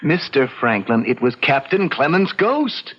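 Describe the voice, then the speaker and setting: An actor playing a fussy, excitable, argumentative doctor in a radio drama, with a gravelly, husky voice and a hesitant manner. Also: male voice, on the high side, a little slow, full character voice. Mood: skeptical, insistent. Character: fussy, excitable male doctor who believes in ghosts. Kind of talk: old radio drama acting. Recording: muffled vintage radio recording.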